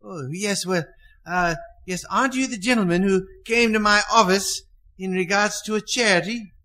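An actor playing a reformed old miser giddy with kindness in a radio play, halting and exclamatory. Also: male voice, sits high, sharp tone. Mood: joyful.

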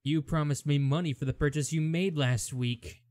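A man's voice: on the high side, fairly even intonation, normal speed, darkish tone, no room sound, studio quality, close to an everyday voice. A man playing a menacing, mocking teenage school bully with a sneering, aggressive delivery in an audio drama. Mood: hostile.

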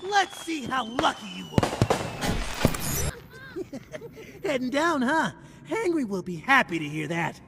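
An actor voicing a gleefully menacing cartoon rabbit mascot in a horror game, taunting with theatrical mock friendliness. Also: male voice, very high voice, big pitch swings, normal speed, gravelly, long reverb tail, noisy background, full character voice. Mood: cocky taunting. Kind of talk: horror game villain acting.

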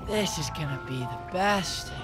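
A woman's voice: deep voice, measured pace. A woman voicing a friendly, earnest young boyish talking car who plays the hero in a children's cartoon. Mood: warm, tired. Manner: earnest, kindly, expressive. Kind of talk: animated children's cartoon acting. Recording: loud music behind the voice.

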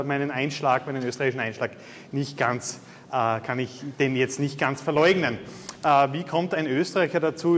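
A man in his thirties with a Styrian Austrian accent, giving a university guest lecture in a chatty, self-deprecating way with many filler sounds. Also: fairly high pitch. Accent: Styrian Austrian German. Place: large lecture hall. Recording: reverberant lecture hall.

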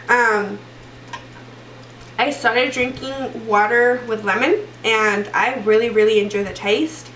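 A woman's voice: on the high side, fairly bright tone, far from the mic, slight background noise. A young woman in her twenties, stuffy from a cold, chatting through a makeup tutorial with lots of fillers and restarts. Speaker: beauty vlogger. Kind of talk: casual makeup tutorial chat. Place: small room indoors. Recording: roomy echo, voice a bit off-mic.

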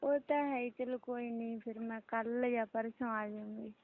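High-voiced evasive young daughter-in-law on a phone acted by a woman. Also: flat delivery, moderate pace, close to an everyday voice. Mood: placating. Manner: polite, placating, short hesitant replies. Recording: tinny narrow-band phone call.

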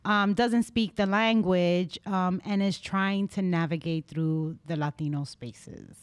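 A woman's voice: on the low side, steady tone, slow, smooth. Middle-aged woman hosting, native Spanish speaker. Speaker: podcast host. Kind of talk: casual panel conversation. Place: podcast studio with brick walls. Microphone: close studio microphone.

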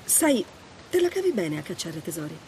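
A wry, adventurous treasure hunter in her thirties for a video game cutscene. Her voice sits on the low side and her delivery is understated and teasing. Faint natural ambience runs behind her. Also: sing-song, moderate pace, darkish tone, a little hiss or hum. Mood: curious, wry.

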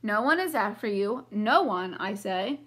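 A woman's voice: mid-range voice, animated, a little slow, fairly bright tone, slightly rough. A woman voicing an earnest little boy in a rhyming picture book, in a sing-song manner. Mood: explaining. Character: little boy calling out for help.

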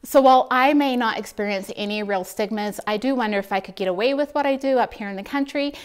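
A woman in her thirties hosting a video and explaining optics to camera in a clear, measured way, speaking indoors in front of a plain wall. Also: on the high side. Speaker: optics reviewer and host. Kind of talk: scripted product comparison to camera.

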